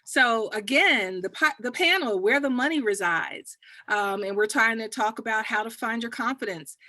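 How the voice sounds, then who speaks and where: A woman's voice: on the high side, normal speed, bright, forceful tone, dry recording. A woman with a Texas accent moderating a panel discussion, upbeat and cheering on her guests.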